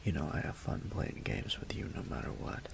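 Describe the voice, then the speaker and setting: A man acting as a teasing, affectionate young roommate in an intimate audio roleplay, murmuring in a gravelly, breathy half-whisper. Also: very deep voice, sing-song, exaggerated voice. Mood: playful, teasing. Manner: playful, unhurried, murmured delivery.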